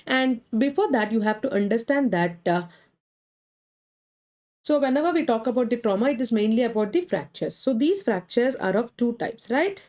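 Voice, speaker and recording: A woman with an Indian English accent, suffering from a cold, teaching a live online medical exam class, answering viewers' comments between points briskly. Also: a little high, expressive, darkish tone. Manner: brisk, reads chat names and answers viewers. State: has a cold.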